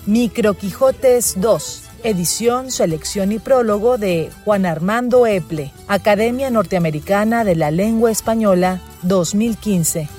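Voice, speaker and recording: A woman announcer reading credits slowly and formally. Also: mid-range voice, darkish tone, faint music behind.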